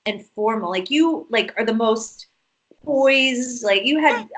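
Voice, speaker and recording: A woman hosting a casual chat with a friend, giggly and animated as she tells stories, recorded over a call. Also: a little high, measured pace, a little hiss or hum. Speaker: host.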